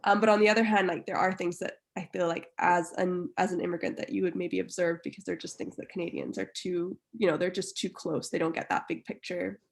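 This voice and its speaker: A woman's voice: fairly low pitch, little pitch movement, fairly quick, vocal fry, hardly any pauses. A young woman from Barbados, a writer on a panel, speaking thoughtfully with hedges and restarts over a video call from a room at home. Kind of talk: casual panel conversation.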